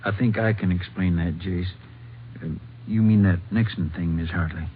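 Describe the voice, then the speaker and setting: Folksy Texas sheriff, lowish voice male actor. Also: normal speed, lightly acted. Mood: explanatory. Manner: folksy, plain-spoken. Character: folksy, reluctant small-county Texas sheriff. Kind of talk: 1950s radio drama acting. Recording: tinny, noisy 1950s radio recording.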